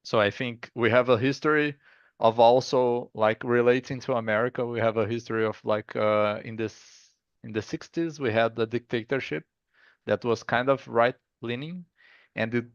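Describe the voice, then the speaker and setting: Man, Brazilian accent, interview guest, halting speech, monotone, dull lo-fi audio. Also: medium pitch, smooth, dry recording. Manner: halting, stops and restarts, says 'like' often. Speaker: guest artist. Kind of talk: casual interview chat.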